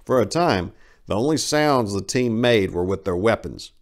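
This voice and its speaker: A man's voice: fairly low pitch, lively intonation, moderate pace. A man narrating war fiction as a dramatic story reading.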